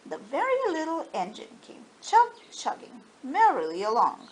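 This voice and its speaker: A woman storyteller reading a children's story aloud in an expressive, dramatized way, with faint background noise. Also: high voice, measured pace, rough recording.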